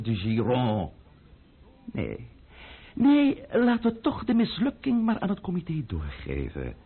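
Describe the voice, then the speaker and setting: A man's voice: medium pitch, slightly rough, phone-quality sound, heavily acted. A male actor playing a cunning, menacing, mocking man of power in a theatrical radio play. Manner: sardonic, theatrical, deliberate.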